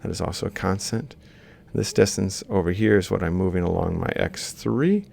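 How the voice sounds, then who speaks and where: A man's voice: low voice, lively intonation, normal speed, creaky, darkish tone. A man lecturing informally, thinking aloud as he explains step by step while drawing. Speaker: robotics lecturer.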